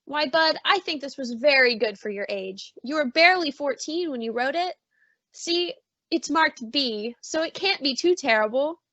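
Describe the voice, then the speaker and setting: High, bright-voiced doting mother played by a woman. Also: lively intonation, moderate pace, no room sound. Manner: motherly, gently chiding. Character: doting, fussing mother of a grown son. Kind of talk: old-time radio suspense acting. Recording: slightly dull, muffled audio.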